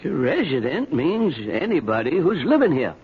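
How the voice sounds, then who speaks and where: A man's voice: fairly high pitch, very animated, a little hiss or hum. An actor playing a folksy, skeptical mailman in a 1970s radio drama, patient and hesitant as he humors someone. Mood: humoring, concerned. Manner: hesitant, humoring, drawn-out hums and pauses. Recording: dull 1970s radio recording.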